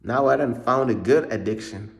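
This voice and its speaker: A man's voice: mid-range voice, normal speed, gravelly. Slangy young man from Uganda. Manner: slangy, repetitive, peppers speech with bro. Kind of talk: casual vlog monologue.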